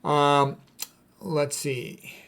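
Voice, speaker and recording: A man's voice: on the high side, little pitch movement, drawn-out words, a bit bright. A man speaking as a doctor in an informal lecture, reading out quotes and commenting on them in a blunt, emphatic, opinionated way. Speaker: doctor commenting on diet and medicine.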